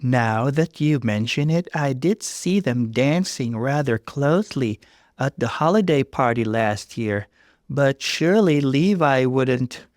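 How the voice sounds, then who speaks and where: A man's voice: mid-range voice, expressive, a little slow, no echo, clean studio sound, pitched above the performer's own voice, lightly acted. A man voicing a hesitant, concerned society wife.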